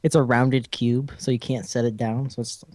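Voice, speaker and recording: Young guy joking and cursing on a podcast, warm mellow tone, super dry mic. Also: medium pitch, flat delivery, normal speed, no echo. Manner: joking, profane, laughs often. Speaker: podcast co-host. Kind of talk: casual chat.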